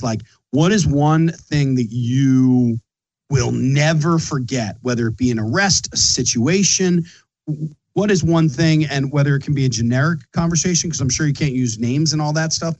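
A man's voice: mid-range voice, poor audio. A man from Philadelphia with a Philly accent hosting a casual interview, rambling and emphatic, restarting his sentences often. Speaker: podcast host.

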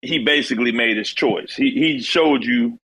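A man's voice: medium pitch, moderate pace, a bit bright, husky, low-quality recording. A man in a casual crypto discussion, talking in a loose, slangy, conversational way.

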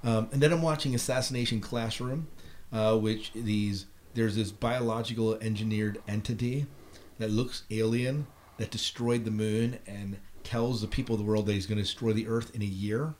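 American man, middle-aged, lowish voice, casual podcast host chat, faint background noise. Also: fairly even intonation, moderate pace, darkish tone. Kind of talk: casual chat about shows and films. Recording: clearly noisy podcast recording.

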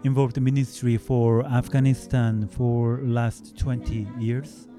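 A man from South Korea, describing his ministry work in slow, careful speech. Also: mid-range voice, little pitch movement, music in the background. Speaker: ministry worker.

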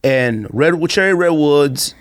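A man's voice: on the high side, lively intonation, slow pace, smooth. Man bantering as a podcast host. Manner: teasing, opinionated. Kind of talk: casual sneaker podcast banter.

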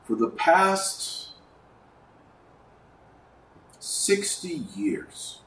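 In a roomy, echoing space, a man with a low voice and an American accent speaks a little away from the mic. He delivers informal podcast commentary, stacking emphatic lists and repeating words for effect. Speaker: podcast host; online course teacher. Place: indoors at a desk. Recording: roomy echo, distant mic, faint noise.

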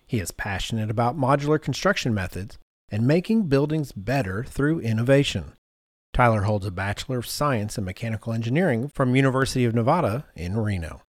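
A man's voice: mid-range voice, animated, fairly quick, no echo. Man hosting a podcast, dry close sound. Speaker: podcast host. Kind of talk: conversational podcast discussion.

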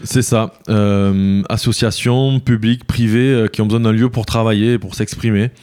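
Male interview guest, smooth and mellow, halting explanatory delivery. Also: fairly low pitch, steady tone. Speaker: interview guest, village mayor. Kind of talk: conversational interview answers.

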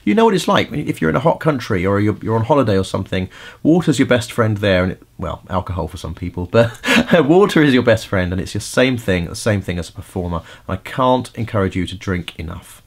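A man's voice: fairly low pitch, very animated, a little fast. A middle-aged man hosting a podcast, giving casual vocal tips in a chatty way with many fillers and restarts. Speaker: podcast host and vocal coach.

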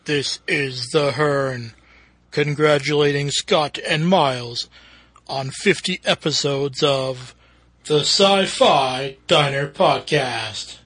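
A man plays a dark science fiction villain delivering a greeting. The voice is husky and drags slowly through each phrase, solemn and menacing. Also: fairly high pitch. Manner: slow, solemn, portentous delivery. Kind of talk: recorded congratulatory message.

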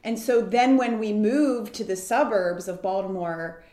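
A woman's voice: medium pitch, measured pace, warm tone. A woman from the United States, a guest in an interview, telling her story in an earnest, flowing way. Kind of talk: reflective interview talk. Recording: roomy echo.

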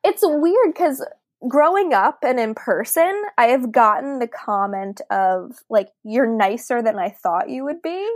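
A woman's voice: a little high, lively intonation, measured pace, no echo. Chatty woman in her late twenties, dry close sound. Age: late 20s. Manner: chatty, laughs often, lots of "like" fillers. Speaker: podcast co-host. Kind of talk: casual podcast chat.

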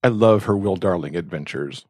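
A man with a fairly low, husky voice chats casually as a podcast co-host. The sound is dry, with no room echo. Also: moderate pace, a little mellow. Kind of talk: casual chat.

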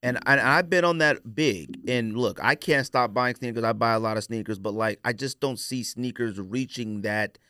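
A man's voice: medium pitch, moderate pace, few pauses, pushed and bright, clear, resonant tone, no echo, clean studio sound. A man chatting casually as a podcast co-host, rambling candidly and using plenty of slang.

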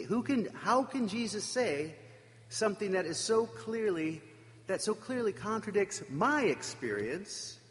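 Man giving a sermon, voice swoops up and down a lot, long hall echo. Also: fairly high pitch. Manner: reflective, emphatic with dramatic pauses. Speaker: preacher.

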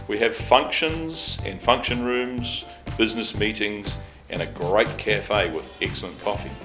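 A man narrating a promotional spot, inviting listeners to visit. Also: fairly low pitch. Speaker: advertisement voice for an aviation museum. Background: guitar music.

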